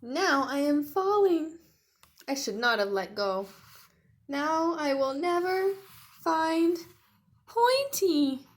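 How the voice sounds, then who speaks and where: A tiny young T. rex boy from a storybook speaks up , played by a woman reading aloud. He is earnest and determined. His words come slowly and deliberately, with childlike emphasis. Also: sits high, expressive, pitched above the performer's own voice. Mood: dismayed.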